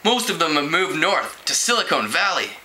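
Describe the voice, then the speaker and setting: A man's voice: a little high, very animated, moderate pace, sharp tone, full character voice. A man reading a storybook aloud, voicing an open, friendly young office tech worker in a casual, easygoing manner. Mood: resigned. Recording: clearly noisy home recording.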